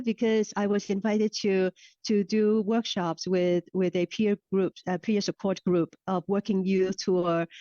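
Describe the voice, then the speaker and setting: A middle-aged woman, a Cantonese speaker, answers interview questions in a halting way, repeating and restarting her words. Her voice sits at an ordinary pitch. The recording is dry and a little dull. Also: warm tone, no room sound. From Hong Kong. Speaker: guest. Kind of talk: casual interview chat. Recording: slightly dull, dry sound.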